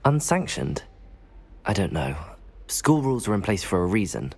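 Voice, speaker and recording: An actor playing a polite, earnest teenage wizard student in a game, a husky voice and a courteous, measured manner. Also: male voice, lowish voice, big pitch swings, darkish tone, heavily acted. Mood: hesitant.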